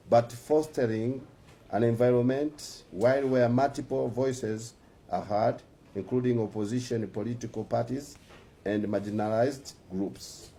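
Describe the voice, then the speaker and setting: A man with a Tanzanian accent reads a prepared statement aloud in a formal way, sometimes halting over words. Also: mid-range voice, warm tone. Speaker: musician and activist.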